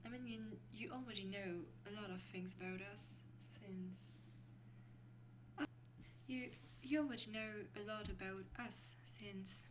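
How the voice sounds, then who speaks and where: A woman playing an affectionate young vampire girlfriend in an ASMR roleplay, speaking softly and intimately in a hesitant, breathy voice that often drops to a whisper. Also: mid-range voice, natural voice. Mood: fond, nostalgic. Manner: slow, hesitant, intimate, with small breathy laughs. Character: affectionate young female vampire girlfriend mentoring a newly turned lover. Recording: clearly noisy recording with hum.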